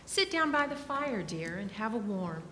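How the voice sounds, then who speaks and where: A woman's voice: mid-range voice, big pitch swings, normal speed, pushed and bright. Woman playing bustling Mrs. Cratchit, echoey hall. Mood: fussing, affectionate. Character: Mrs. Cratchit, bustling affectionate Victorian mother. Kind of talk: dramatic reading of a Victorian tale. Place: live in a church. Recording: echoey hall, faint noise.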